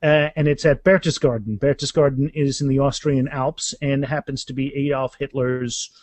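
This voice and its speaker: A man's voice: mid-range voice, fairly even intonation, moderate pace, darkish tone, no room sound. A man chatting casually as a guest author, emphatic and often repeating words as he explains. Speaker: guest author discussing his books. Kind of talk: casual animated interview chat.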